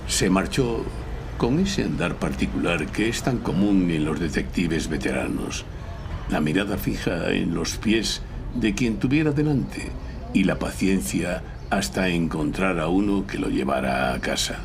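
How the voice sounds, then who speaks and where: An actor playing a lawyer who narrates his own case in a live audio drama, deliberate and wry. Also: deep voice, lively intonation, vocal fry, heavily acted. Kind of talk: scripted first-person audio drama narration. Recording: noisy live stage recording before an audience.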